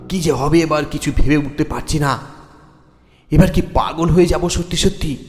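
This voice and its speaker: Slow, reverberant male horror storyteller. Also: medium pitch. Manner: theatrical, deliberate phrasing with dramatic pauses. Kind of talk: dramatic first-person ghost story narration in Bengali. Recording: long reverb with music underneath. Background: music.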